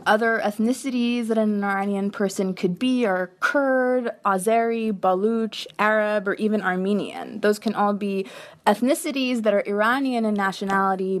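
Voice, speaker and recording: A woman in her thirties answers interview questions as a guest expert, chatty and animated. Her voice sits at an everyday middle pitch, and she explains things at length in a relaxed, talkative way. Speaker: guest sociologist.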